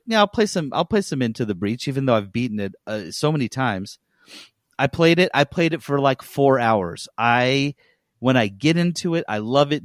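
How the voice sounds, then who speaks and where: A man co-hosting a podcast, telling things in an animated, emphatic way amid casual banter. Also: medium pitch, no echo, studio quality. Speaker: podcast co-host.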